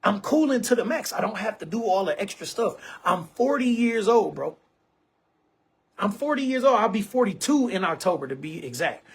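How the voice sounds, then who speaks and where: A man's voice: high-pitched, lively intonation, normal speed, husky. A man in his forties hosting an online show, talking casually to camera in an emphatic, repetitive way and often calling listeners bro and man. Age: 40s.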